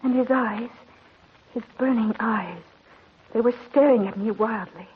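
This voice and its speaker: An actress playing an anxious, devoted young married daughter in an old-time radio drama, earnest and theatrically emotional. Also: female voice, a little high, unhurried, lightly acted. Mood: sorrowful narration. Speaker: narrator and lead character. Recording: lo-fi noisy old radio recording.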